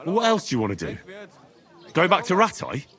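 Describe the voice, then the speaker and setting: An actor playing an earnest, level-headed and loyal young squire in a medieval video game. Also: male voice, a little high, huge pitch swings, brisk, fairly bright tone, heavily acted. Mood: encouraging. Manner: earnest, reassuring. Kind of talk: video game cutscene acting.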